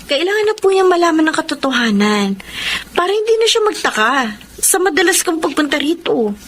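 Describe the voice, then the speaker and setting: An actress playing a gentle, earnest young Filipino wife and dutiful daughter in a Tagalog radio drama. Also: female voice, extremely high pitch, very animated, brisk, exaggerated voice. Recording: faint background noise.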